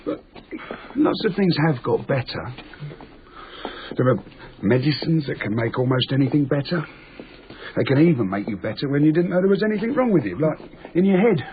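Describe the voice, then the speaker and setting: A man's voice: a little high, sing-song, normal speed, pauses often. A man acting in a radio drama as an earnest, simple working man who explains things in a rambling, naive, matter-of-fact way. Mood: matter-of-fact musing. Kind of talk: naturalistic radio drama dialogue. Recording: tinny lo-fi radio broadcast, noisy.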